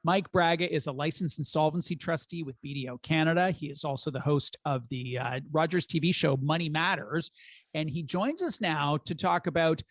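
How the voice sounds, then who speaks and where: A man hosting a personal finance podcast, asking questions in a measured, reflective way. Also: a little high, no room sound. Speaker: podcast host. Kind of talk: conversational interview.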